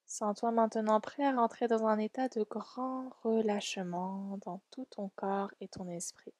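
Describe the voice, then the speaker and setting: A woman leading a guided relaxation meditation in a soft, soothing, gentle and unhurried way. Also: medium pitch, steady tone, dry recording, clean studio sound. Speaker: yoga nidra guide.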